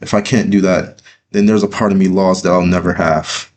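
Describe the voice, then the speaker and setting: A man reading his own story as a dramatic first-person narration, earnest, with deliberate pauses. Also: sits low, fairly even intonation, a little mellow, a little hiss or hum.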